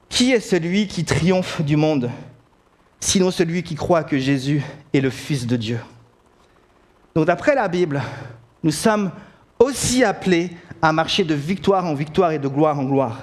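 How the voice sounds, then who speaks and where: A middle-aged Belgian pastor preaching with a notably high-pitched voice. His Belgian French accent colours each word, and he keeps restarting phrases before driving them home with emphatic declarations. He is speaking from a church pulpit. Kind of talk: sermon. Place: church sanctuary.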